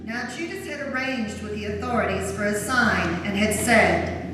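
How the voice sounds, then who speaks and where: A woman narrating a dramatic scripture reading in a church, with a solemn, measured delivery. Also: mid-range voice, loud music behind the voice. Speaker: narrator of a Passion Gospel reading. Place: large church during a service. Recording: very echoey church, distant mic, noisy.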